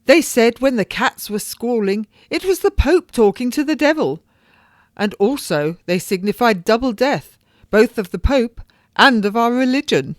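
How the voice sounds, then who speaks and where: A woman voicing an agitated genteel noblewoman who gossips and exclaims in high, theatrical bursts. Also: lively intonation, a little slow, no echo, clean studio sound. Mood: horrified. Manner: rising, theatrical, emphatic outbursts.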